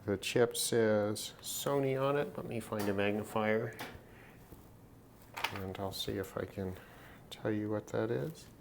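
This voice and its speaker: A man giving casual, unscripted commentary on a hands-on repair, halting and musing to himself as he works. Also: fairly low pitch, steady tone. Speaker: host of a hobby radio repair video. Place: at a desk, indoors. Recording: clear background noise, handling clicks.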